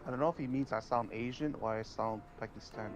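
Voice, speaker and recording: A man co-hosting a stream, making dry deadpan remarks. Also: medium pitch, fast talker, dull audio, faint music behind. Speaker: co-host. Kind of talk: casual livestream chat.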